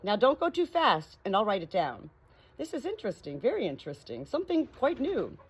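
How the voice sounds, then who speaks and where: Woman as an earnest, animated gentleman doctor. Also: mid-range voice, a little fast, husky, voice pushed up. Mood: curious, excited. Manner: earnest, eager. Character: mild, curious, earnest male country doctor.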